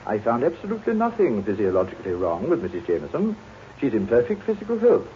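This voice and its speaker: An actor playing a paternal family doctor in a 1950s radio drama, speaking in a measured, professional, soothing manner. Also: male voice, mid-range voice, huge pitch swings. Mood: reassuring. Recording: dull, faintly noisy old radio recording.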